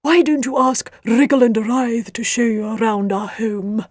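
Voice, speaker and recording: A genteel monster parent from a storybook, acted by a woman narrator, raised high and close-miked. The delivery is fussy, emphatic and grumbling, with polite manners. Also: measured pace, dry recording. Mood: genial.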